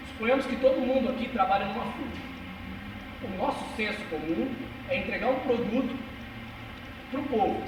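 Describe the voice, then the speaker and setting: A young man with a São Paulo interior accent speaks with fervent, emphatic conviction, dropping street slang as he addresses a small crowd. His voice sits high, and it comes from far off in a big echoing hall with a long reverb tail. Steady noise hums under the whole recording. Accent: São Paulo interior Brazilian Portuguese. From Brazil. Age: 20s. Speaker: speaker giving a talk. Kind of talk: passionate live talk to a small audience. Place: cultural center hall.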